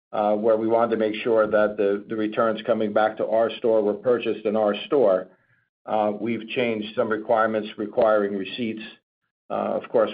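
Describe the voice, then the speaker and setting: A man speaking as a company executive, answering questions on an earnings call in a halting, corporate way. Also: fairly low pitch. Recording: narrow, tinny phone-conference line.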